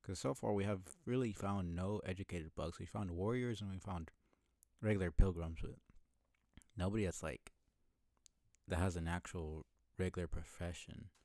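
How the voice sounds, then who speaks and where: A man with a low voice and a gravelly, creaky edge streams a video game. He thinks aloud in a casual, rambling way, musing over things as he goes. The sound is close and dry. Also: normal speed, a little mellow, no room sound. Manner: musing, rambling, mild swearing. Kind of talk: casual live gameplay commentary, thinking aloud.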